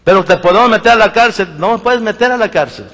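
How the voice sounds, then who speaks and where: A man's voice: sits high, fast talker, long reverb tail. A man with a Mexican Spanish accent, preaching a sermon and telling stories from his own life, acting out the people in them, often restarting his sentences. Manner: animated storytelling, acts out quoted voices, many restarts. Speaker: pastor. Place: church sanctuary.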